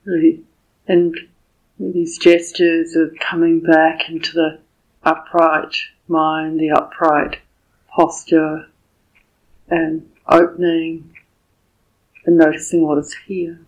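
A woman meditation teacher leading a guided meditation in a soft, slightly husky voice, speaking gently and deliberately with pauses between short phrases. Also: low voice, flat delivery, low-quality recording.

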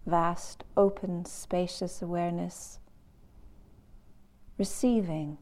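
Female meditation teacher: calm, very slow delivery in short spaced phrases, lowish pitch. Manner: calm, unhurried, long pauses between short phrases. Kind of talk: guided meditation.

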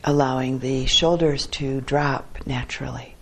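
Woman leading a meditation, really slow and calm, short phrases w long gaps. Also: a bass voice. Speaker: meditation teacher. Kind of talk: guided meditation instruction.

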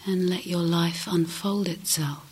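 Breathy, very slow woman guiding meditation. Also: on the low side, steady tone. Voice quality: breathy, husky, often near a whisper. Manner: slow, gentle delivery with long pauses. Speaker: meditation teacher. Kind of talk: guided meditation.

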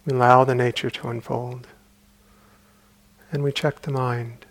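A man guiding a meditation as a teacher, speaking calmly and unhurriedly in short phrases with long pauses. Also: medium pitch, creaky, no room sound, rough recording. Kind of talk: guided meditation.

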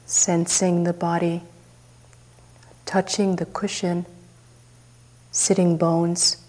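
A woman teacher leading a guided meditation, speaking softly and unhurriedly with long pauses. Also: on the low side, flat delivery, low-quality recording.

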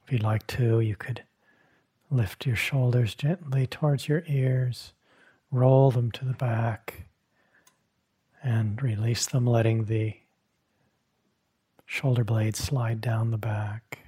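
A man leading a guided meditation as a teacher, speaking gently in short phrases with long, unhurried pauses and a soft, husky tone. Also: mid-range voice, fairly even intonation. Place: meditation hall at a retreat.

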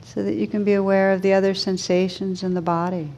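A woman meditation teacher leading a guided meditation in a gentle, soothing manner, with unhurried pauses between short phrases. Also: medium pitch, little pitch movement.

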